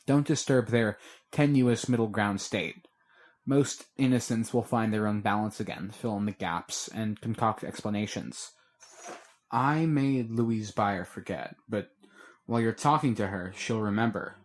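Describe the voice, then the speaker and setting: A man's voice: on the low side, measured pace, close to an everyday voice. A man voicing Edith, an arch, cool young woman who gives dry advice.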